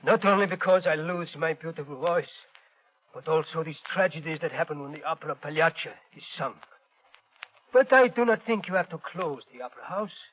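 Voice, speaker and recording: A sorrowful male opera singer in a 1930s radio drama. His voice is high for a man, and he speaks formal, halting English in a mournful way. The sound is dull, old band-limited radio. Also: animated. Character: sorrowful, superstitious opera tenor who lost his voice.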